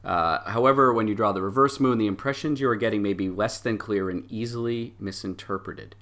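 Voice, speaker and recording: A man with a fairly low voice leads a tabletop role-playing game. He narrates scenes and explains cards conversationally, pausing now and then as he finds his words. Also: fairly bright tone. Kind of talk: narrating a story game and reading card meanings aloud.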